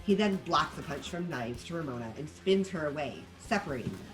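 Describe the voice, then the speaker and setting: A narrator reads out screenplay action in a scripted table read. The voice sits mid-range and moves with lively pitch, over faint music. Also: a little fast, poor audio. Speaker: narrator reading stage directions.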